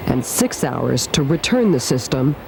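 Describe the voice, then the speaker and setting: A woman investigative TV reporter narrating a news story in a measured, pointed way. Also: extremely low pitch, big pitch swings, creaky, very noisy.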